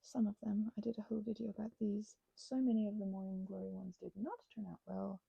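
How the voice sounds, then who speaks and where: A woman's voice: medium pitch, flat delivery, quick pace, creaky, breathy edge, no echo, dull audio. A woman crafting and rambling casually in ASMR style, whimsically talking to herself and the things in her hands, sometimes dropping to a near-whisper. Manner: whimsical, talks to herself and objects. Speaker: crafting vlogger.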